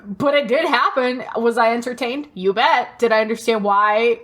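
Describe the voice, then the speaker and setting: A woman co-hosting a podcast in casual chat, telling things in an animated, emphatic way with plenty of swearing. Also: on the high side, normal speed. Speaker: podcast co-host. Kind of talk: casual pop-culture chat.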